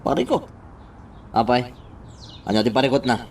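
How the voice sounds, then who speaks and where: Calm, inquisitive man, slightly off mic. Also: mid-range voice, moderate pace, creaky. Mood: curious. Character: concerned male confidant, calm and inquisitive. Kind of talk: radio drama acting in Ilocano. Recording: slightly distant mic, faint background noise.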